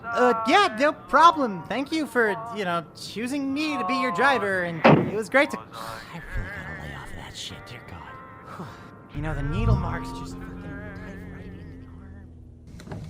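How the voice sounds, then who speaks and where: A woman's voice: medium pitch, very animated, moderate pace, over loud music, close to an everyday voice. A woman playing an awkward, nervous driver who rambles hesitantly in an audio drama. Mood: nervous, flattered, then weary, muttering.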